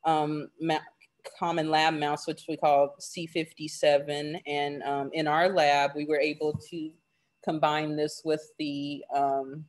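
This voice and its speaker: A graduate student answering panel questions casually, with many fillers, over a video call. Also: male voice, fairly high pitch, level tone, slow pace.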